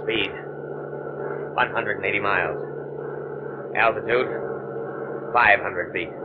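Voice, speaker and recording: A man's voice: on the low side, fairly even intonation, takes it slowly. An actor playing an airplane crewman calling out landing readings in a 1950s radio drama. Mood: terse, tense. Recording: noisy, muffled old radio recording.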